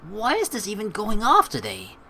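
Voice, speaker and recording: A woman's voice: mid-range voice, wildly swooping pitch, normal speed, bright, forceful tone, a little hiss or hum. A woman hosting a let's play, switching between reading game narration aloud and playful, teasing commentary.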